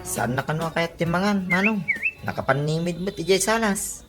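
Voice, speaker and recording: A woman's voice: fairly low pitch, expressive, normal speed, natural voice. A woman with an Ilocano Filipino accent playing a familiar, complaining young cousin in a comedy radio drama. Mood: informing. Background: loud background music.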